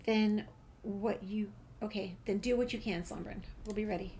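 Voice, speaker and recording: A woman's voice: medium pitch, steady tone, moderate pace, dark tone, slight background noise. A woman playing through a video game, reading dialogue aloud and adding casual asides. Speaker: gameplay streamer.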